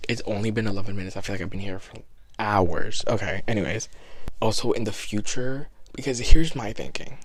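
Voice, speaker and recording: A young man in his twenties with a raspy voice, hosting a casual solo podcast and chatting in a rambling, self-deprecating way, a little out of breath. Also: lowish voice, no room sound. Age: 20s. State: slightly out of breath. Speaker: podcast host. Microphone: podcast microphone.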